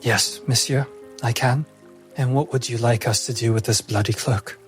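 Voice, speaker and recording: A man's voice: medium pitch, steady tone, moderate pace, faint music behind, voice pushed down, lightly acted. Man playing a curt, proud beastkin woman. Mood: obedient. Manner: formal, deferential on the surface, curt.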